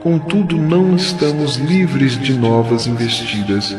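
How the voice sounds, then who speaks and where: A man playing a kindly spirit mentor in an audio drama, preaching in a measured, earnest and solemn way. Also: mid-range voice, steady tone, lightly acted. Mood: grave, resolute. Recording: music behind the voice. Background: music.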